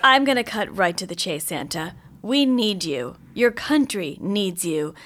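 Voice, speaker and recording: An actress playing a confident female political consultant who talks in a brisk, businesslike, pushy way. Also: mid-range voice, animated, a bit bright.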